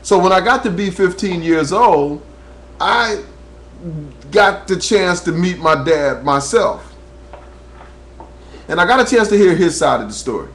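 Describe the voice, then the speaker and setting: A man's voice: sits high, lively intonation, a little slow, long pauses. Earnest man on a webcam. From New Jersey, USA. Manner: earnest, preacherly, rising when telling his story. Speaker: talk radio host. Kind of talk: webcam monologue. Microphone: webcam microphone.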